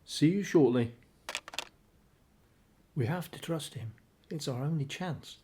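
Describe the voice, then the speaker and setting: An actor playing an ordinary, wary husband with a dry conversational manner in a radio drama. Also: male voice, on the high side, animated, slow, noisy background. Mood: wary, puzzled.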